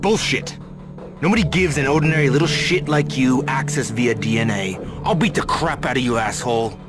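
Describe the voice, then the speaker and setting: Guy playing brutal game villain interrogator, threatening, loud scary music behind. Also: medium pitch, moderate pace, close to an everyday voice. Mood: menacing. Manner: threatening, cursing. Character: brutal, menacing military interrogator, male. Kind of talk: video game voice acting.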